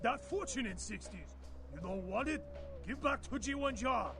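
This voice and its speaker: Crowing old game character, very shrill pitch, gravelly creak, broken English, boastful, music underneath. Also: male voice, animated, normal speed, heavily acted. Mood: indignant, grandiose. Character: boastful, newly rich eccentric old man, broken English. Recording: music behind the voice.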